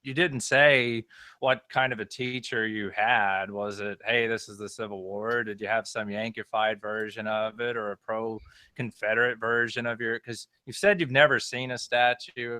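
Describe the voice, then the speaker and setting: A man's voice: on the low side, little pitch movement, moderate pace, sharp tone, clean tone, no echo. A man from Western Oklahoma, a podcast co-host, arguing his side in a talkative, argumentative way. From Western Oklahoma, United States. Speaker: podcast co-host, history channel creator. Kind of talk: podcast debate.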